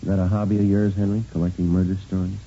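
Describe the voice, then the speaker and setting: Very deep, deadpan police sergeant. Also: male voice, very fast, slight background noise. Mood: dry, probing. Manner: clipped, deadpan, matter-of-fact. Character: terse, unflappable male police detective sergeant. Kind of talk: vintage radio police drama acting and narration. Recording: muffled vintage radio recording, music behind.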